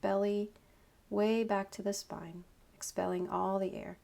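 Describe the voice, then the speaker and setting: Woman guiding meditation, gravelly creak. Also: mid-range voice. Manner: calm, unhurried, short instructive phrases with pauses. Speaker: yoga nidra meditation guide. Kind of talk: guided meditation, slow spoken instructions.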